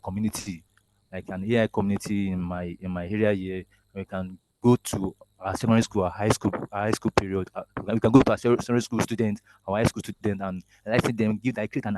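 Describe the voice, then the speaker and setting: Halting man on a noisy call. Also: on the low side. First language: Yoruba. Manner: halting, many restarts and fillers. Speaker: AI engineer guest. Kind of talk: casual interview over video call. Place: indoor office room. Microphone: video call laptop mic. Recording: noisy video call audio.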